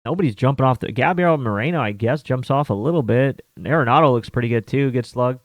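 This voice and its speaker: Casual male betting host, about 30, loose rambling delivery, average pitch, dry close sound. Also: fast, no room sound. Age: 30s. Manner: rambling, filler-heavy, with mild swearing. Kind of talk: casual chat.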